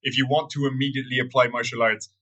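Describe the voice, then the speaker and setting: A young Irish man answering interview questions in a thoughtful, measured way with some fillers. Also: medium pitch, little pitch movement, pushed and bright. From Ireland. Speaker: guest.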